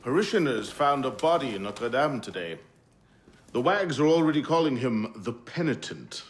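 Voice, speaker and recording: An actor as a commanding period conspirator in a game, a mid-pitched man with a widely moving intonation. He is coolly menacing, with slight noise behind him. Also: very animated, normal speed, lightly acted. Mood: cool, expectant. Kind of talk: period drama acting in a video game.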